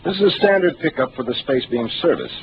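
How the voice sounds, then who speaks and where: An actor playing a calm, seasoned starship captain who speaks in a measured, authoritative way, in a 1950s radio drama. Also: male voice, fairly high pitch, natural voice. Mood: explaining. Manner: measured, authoritative, explaining patiently. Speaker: ship captain. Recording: hissy narrow 1950s radio broadcast.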